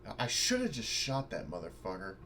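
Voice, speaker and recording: A man's voice: fairly low pitch, huge pitch swings, a little fast, noisy background. A man playing a tabletop game, chatting casually in a blunt, sweary way. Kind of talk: casual banter during online game.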